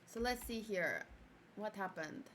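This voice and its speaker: A young woman whose first language is Afrikaans, chatting casually while reviewing an anime and reacting to her own old video, giggling and switching between loud outbursts and quiet muttering. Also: medium pitch, very animated, measured pace, a little hiss or hum.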